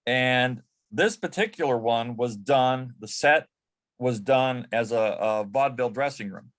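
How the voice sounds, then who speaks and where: A man's voice: mid-range voice, unhurried. A man telling an anecdote casually as a panel guest, correcting himself as he goes. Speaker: panel guest, former celebrity assistant.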